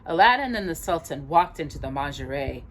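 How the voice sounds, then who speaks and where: A woman reading a children's story aloud expressively, pausing to put questions to a young listener. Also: low-pitched, animated, slight background noise, poor audio. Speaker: storyteller and host.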